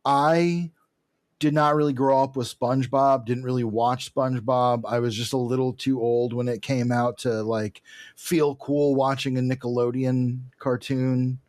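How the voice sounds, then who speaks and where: A man's voice: medium pitch, steady tone, measured pace, darkish tone, dry recording, clean studio sound. A man co-hosting a podcast in casual chat, telling things in a rambling, digressive way. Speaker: podcast co-host.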